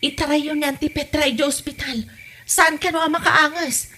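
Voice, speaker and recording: A woman playing a practical, bossy young wife in an audio comedy-drama, brisk and nagging. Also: sits high, fairly even intonation, pushed and bright, slight background noise. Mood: concerned, brisk.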